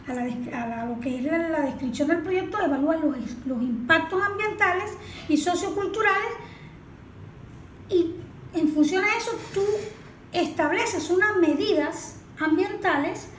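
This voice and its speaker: Venezuelan woman, expert interviewee, didactic and high-pitched, recorded in a very reverberant room with faint noise. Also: measured pace. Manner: didactic, asks and answers own questions. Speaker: environmental expert guest. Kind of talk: explanatory interview talk.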